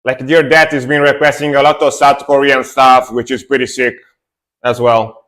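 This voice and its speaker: Young man, streamer, casual chat, relaxed, mid-range voice. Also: mid-range voice, moderate pace. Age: young adult. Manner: relaxed, chatty, answers chat, fillers and restarts. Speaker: music reaction streamer.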